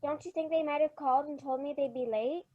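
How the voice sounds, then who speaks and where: A high-pitched little girl in a radio play, voiced by a female actor, eager and anxious for her parents. She is plaintive and keeps insisting. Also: fast, dull audio, lightly acted. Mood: subdued, sulky. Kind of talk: old-time radio drama acting.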